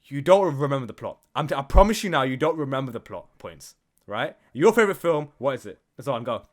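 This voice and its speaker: A man's voice: medium pitch, animated, brisk. Emphatic man in casual podcast chat. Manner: sweary, emphatic, argues his point with checking questions. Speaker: podcast host. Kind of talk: casual gaming podcast discussion.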